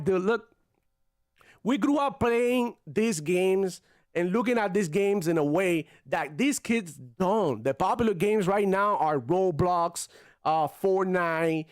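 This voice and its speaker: A man's voice: high voice, dry recording, studio quality. A young man from Cuba hosting a casual gaming livestream, chatting loosely with slang and Spanish phrases while reading viewer comments. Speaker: gaming channel host reading chat.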